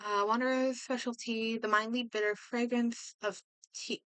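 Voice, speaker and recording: Woman, game streamer, slightly high bright voice, slow halting delivery, casual thinking aloud. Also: steady tone, clear, resonant tone, dry recording. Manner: hesitant, halting, thinking out loud. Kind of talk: casual livestream commentary, reading game text aloud and reacting.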